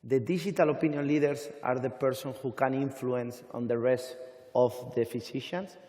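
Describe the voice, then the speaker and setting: A man on an industry panel, speaking deliberately with halting, non-native phrasing. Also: medium pitch, steady tone, warm tone. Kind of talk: panel discussion. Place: conference hall stage. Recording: hall reverb from conference stage.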